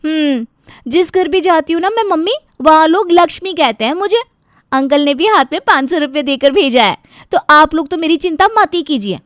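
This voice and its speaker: A woman voicing a cheeky, chatty young bride in an animated family story, teasing and playful. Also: high-pitched, moderate pace, no room sound, studio quality. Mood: breezy, boastful. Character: cheeky, chatty young bride who fusses over her in-laws.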